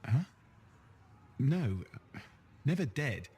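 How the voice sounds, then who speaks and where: A man's voice: mid-range voice, sing-song, very slow, a little mellow, slightly rough, full character voice. A man playing a chatty, boastful but insecure young sidekick in a sci-fi video game, playful and self-mocking. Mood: earnest. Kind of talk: acted game dialogue.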